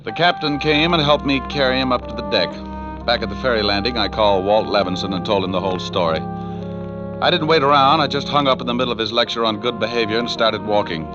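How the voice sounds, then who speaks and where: Hardboiled American male gumshoe actor, flippant and tough, 1940s radio style, dull sound with loud music. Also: mid-range voice, fairly quick, natural voice. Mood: hardboiled, narrating. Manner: wry, flippant. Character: wisecracking tough male New York private detective. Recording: dull old radio recording.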